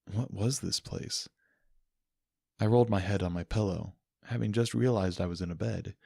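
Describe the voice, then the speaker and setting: A man's voice: low-pitched, moderate pace, vocal fry, mellow, husky, no room sound, studio quality. A man narrating a first-person story as a dramatic audiobook reading.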